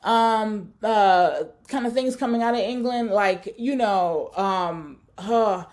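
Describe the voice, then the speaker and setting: A woman's voice: mid-range voice, takes it slowly, a little mellow. A woman giving a thoughtful research talk, searching for words and often correcting herself. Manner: thoughtful, searching, many fillers and self-corrections. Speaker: academic speaker.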